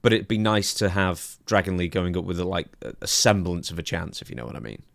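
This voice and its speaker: A man's voice: low voice, fast, vocal fry, no echo, studio quality. A man co-hosting a podcast, recapping events in a casual, chatty way. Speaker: podcast co-host.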